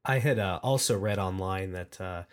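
A man with a slightly low voice co-hosts a podcast. He asks his questions conversationally, with a hesitant, halting delivery. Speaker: podcast co-host. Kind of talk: conversational interviewing.

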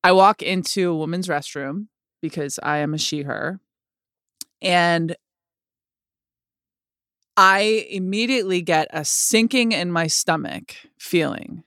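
A woman with a low voice telling a personal story in an earnest, halting way, often restarting her sentences. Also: fairly bright tone, clean tone, no room sound, clean studio sound. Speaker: podcast co-host.

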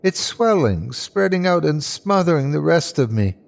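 A man acts out a dying man in a horror tale. His voice is strained and full of fry, coming slowly in short, halting bursts. He sounds anguished, caught up in something dreadful. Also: medium pitch, expressive, a little mellow. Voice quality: strained, sometimes whiny or growling. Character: dying man, frightened, awed, turning bitter and hateful. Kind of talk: dramatic horror story reading.

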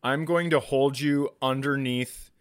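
A man's voice: medium pitch, fairly even intonation, unhurried, clear, resonant tone, dry recording. Profane comedic storyteller, a man, close and dry. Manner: crude, profane, exasperated ranting. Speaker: podcast co-host and comedian.

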